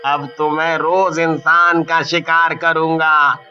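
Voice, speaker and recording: A man voicing a hungry, gloating man-eating ghost in a horror bedtime story, with drawn-out menace. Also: a little high, flat delivery, slight background noise. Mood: gloating triumph. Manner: gloating, drawn-out menacing speech with evil laughter. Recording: muffled lo-fi audio with music.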